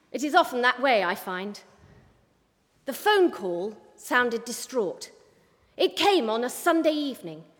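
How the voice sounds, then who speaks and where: A woman reading a first-person story in an expressive, measured way. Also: sits high, long reverb tail. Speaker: audiobook narrator.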